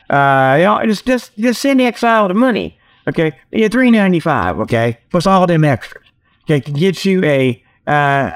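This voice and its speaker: A man hosting a comedy show, giving a rambling, folksy monologue full of mock sales pitches. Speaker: host of a comedy talk show.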